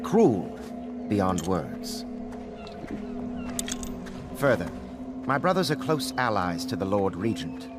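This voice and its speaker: An actor playing a disdainful, scheming aristocrat in a video game, with a formal, deliberate, haughty delivery. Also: male voice, on the low side. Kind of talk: scripted game dialogue.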